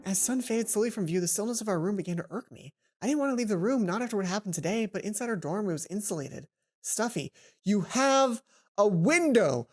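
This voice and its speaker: A woman hosting a let's play, reading a visual novel aloud and adding chatty, informal, animated asides. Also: mid-range voice, a little fast. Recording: music behind the voice. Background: game music.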